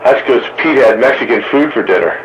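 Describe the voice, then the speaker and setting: A man's voice: medium pitch, animated, brisk. A man chatting casually and telling joking anecdotes over a two-way radio. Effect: two-way radio transmission. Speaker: amateur radio operator chatting on a radio round table. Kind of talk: casual chat. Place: radio transmission picked up by a receiver. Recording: muffled, staticky radio reception with faint noise.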